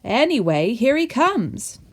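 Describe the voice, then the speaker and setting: A woman's voice: fairly high pitch, big pitch swings, slow. A woman reading scripted narration for a student comedy radio play in a wry, chatty storytelling way.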